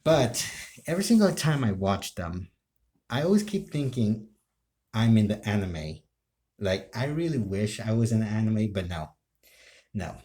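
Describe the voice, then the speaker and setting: A man chatting casually to his viewers as a video creator, rambling and circling back over halting explanations. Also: on the low side, sing-song, a little mellow. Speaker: video creator and amateur role-player.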